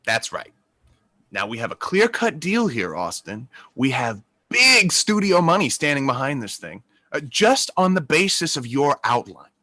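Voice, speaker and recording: A man's voice: medium pitch, big pitch swings, unhurried, pushed and bright, no room sound, full character voice. A man playing a genial, pushy Hollywood producer in a play reading, coaxing like a salesman. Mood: persuasive.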